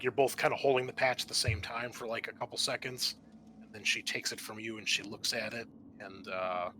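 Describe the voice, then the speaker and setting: A man running a tabletop roleplaying game as game master, narrating informally and haltingly as he improvises the scene. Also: lowish voice, noisy background.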